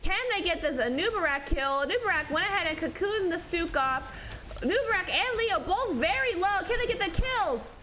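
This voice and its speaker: A woman doing live play-by-play commentary on an esports match, sounding stuffy from allergies and getting excited in close fights. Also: extremely high pitch, normal speed, background noise. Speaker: esports caster.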